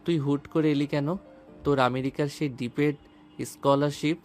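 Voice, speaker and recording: A man playing a troubled, conflicted young lover with a brooding, halting delivery in an audio romance drama. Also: on the high side, flat delivery, soft background music, lightly acted. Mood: questioning.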